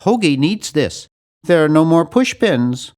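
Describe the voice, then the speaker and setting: A man voicing a nerdy, earnest and anxious young hero in a video game, with a fussy, animated delivery. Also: fairly high pitch, unhurried. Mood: matter-of-fact, fussy. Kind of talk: video game character voice lines.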